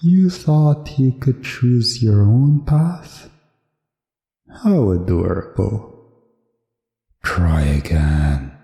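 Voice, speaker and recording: Villainous game master, acted by a man: low, mellow, mocking, theatrical, echoey roleplay audio. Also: gravelly, heavily acted. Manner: theatrical, slow, taunting, drawn-out emphasis. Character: menacing, mocking male game master toying with his captive contestant.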